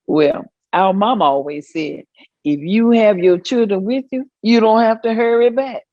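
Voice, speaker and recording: A woman's voice: a little high, unhurried, dry recording, highs cut off, lightly acted. An actress playing a proud, amused older mother with a Southern US accent, telling folksy stories in a staged reading. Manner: folksy, chuckling storytelling. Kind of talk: staged reading of oral history.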